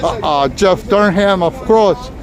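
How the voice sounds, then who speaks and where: A man's voice: high voice, slow pace, a crowd in the background. A man giving a rambling, casual walking tour to his viewers while walking down a city street. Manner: chatty, rambling, talks to viewers. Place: outdoors on a busy city street. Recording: noisy street recording. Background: street traffic noise.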